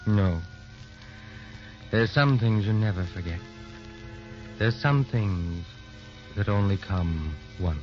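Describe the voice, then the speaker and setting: A man's voice: deep voice, expressive, slow pace, pauses often. An actor playing a dignified, sentimental widowed father, formal and earnest, in old-time radio drama style. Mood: nostalgic, tender. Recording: dull old radio recording, music behind.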